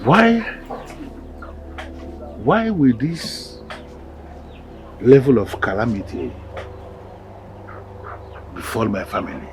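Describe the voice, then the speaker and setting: Proud Nigerian king, highly animated. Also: takes it slowly, pauses often, noisy background. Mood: shocked, anguished. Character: proud, ruthless African village king, vengeful, later anguished. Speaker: film actor. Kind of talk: melodramatic film acting. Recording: background music under dialogue.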